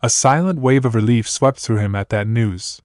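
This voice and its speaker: A man's voice: medium pitch, normal speed, a little mellow, no echo. Man reading a story, dry close sound. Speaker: narrator. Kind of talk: reading fan fiction aloud.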